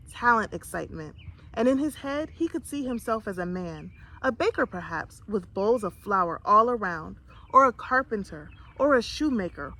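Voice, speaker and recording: A woman reading a children's storybook aloud in an expressive way. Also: medium pitch. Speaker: storybook reader.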